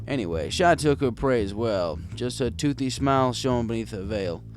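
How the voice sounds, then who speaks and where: A man's voice: mid-range voice, lively intonation, moderate pace, soft background music. A man reading a first-person story in a wry, conversational storytelling manner. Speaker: fan fiction reader.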